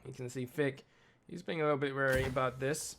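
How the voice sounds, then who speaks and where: A male esports caster calls a shooter match play by play, his voice worn and slightly raspy from the late hour. He keeps up the running commentary alone from a home setup. A faint hiss sits under his voice. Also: medium pitch, fairly even intonation, fairly quick, fairly bright tone. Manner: self-deprecating, talks through tech trouble.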